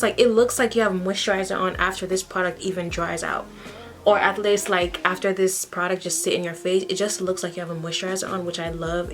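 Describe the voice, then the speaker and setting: Young woman from haiti doing a grwm skincare chat, frank and chatty, middle pitch, bit of quiet music under it. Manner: chatty, frank, says "okay?" often. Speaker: beauty vlogger. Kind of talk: casual get-ready-with-me chat.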